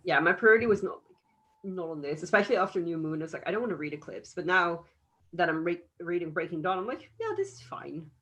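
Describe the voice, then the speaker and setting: A woman's voice: lowish voice, expressive, quick pace, a bit bright. A woman chatting casually as a participant in an online book discussion. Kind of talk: casual chat. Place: video call.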